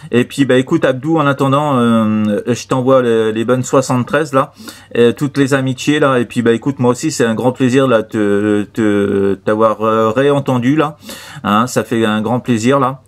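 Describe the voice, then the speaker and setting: A man's voice: medium pitch, moderate pace. Guy doing a casual ham radio chat, mid voice, repeats himself a lot, friendly sign-offs. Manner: repetitive, filler-heavy, friendly sign-offs. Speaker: amateur radio operator.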